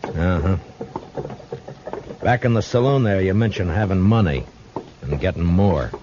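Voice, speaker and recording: A steady, tough Old West lawman with a low American voice. He talks firmly and plainly, with dry persistence. The radio drama sound is dull, with faint background noise. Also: measured pace. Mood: stern, exasperated. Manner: firm, plain-spoken. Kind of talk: Western radio drama acting.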